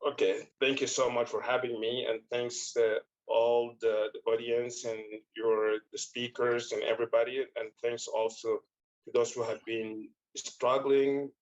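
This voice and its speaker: A man's voice: medium pitch, level tone, a little slow, muffled recording. A man closing his part of a panel, hesitant and grateful, over a video call. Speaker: panel speaker. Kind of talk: thanking at the end of a panel.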